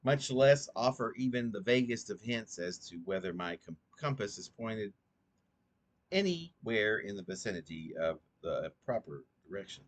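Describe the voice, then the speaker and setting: A man reading his own poem aloud in an earnest, rhetorical, dramatic recitation with a halting delivery. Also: fairly low pitch, lively intonation.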